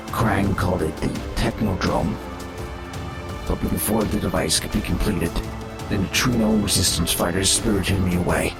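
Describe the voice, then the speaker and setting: A man's voice: low voice, vocal fry. A man voicing a learned, formal alien robot scientist telling a mournful history in a dramatic audio comic. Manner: formal, halting, elaborate wording. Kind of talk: dramatic expository narration in a fan audio comic.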